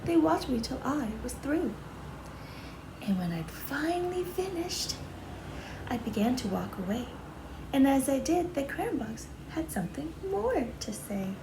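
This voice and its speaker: A woman reads a children's storybook aloud in a deliberate, sing-song way, like a preschool teacher with rhyming verse. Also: high-pitched. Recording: roomy, echoing, clearly noisy home-style recording.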